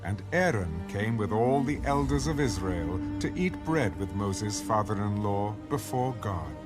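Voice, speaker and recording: A man's voice: fairly low pitch, expressive, a little slow. A man reading scripture as the narrator of a dramatized audio Bible. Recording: music bed under the voice. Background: music.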